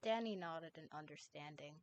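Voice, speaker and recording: A woman's voice: low voice, normal speed. A woman reading a fanfic aloud on a stream and chatting easily with her co-reader.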